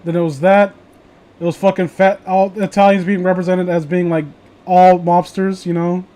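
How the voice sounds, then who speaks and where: A man's voice: high voice, flat delivery, moderate pace, warm tone. A man chatting casually with friends in a rambling, opinionated, sweary way. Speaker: host of a reading show.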